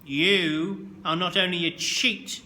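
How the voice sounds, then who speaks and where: A man's voice: high-pitched, fairly even intonation, slow, heavily acted. A man voicing a stern, bullying old male schoolteacher with a military manner, barking orders. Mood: barking, menacing. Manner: barking, shouted commands.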